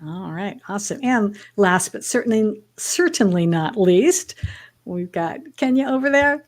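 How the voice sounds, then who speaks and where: A woman's voice: medium pitch, expressive, measured pace. A woman from Michigan hosting a livestream talk show, chatty and upbeat with frequent restarts. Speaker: show host.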